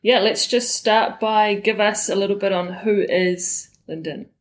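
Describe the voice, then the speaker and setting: A woman co-host welcomes a guest and asks questions in a relaxed, friendly manner, using a few fillers. Her voice sits at a middle pitch. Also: unhurried. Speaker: podcast co-host. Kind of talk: casual interview hosting.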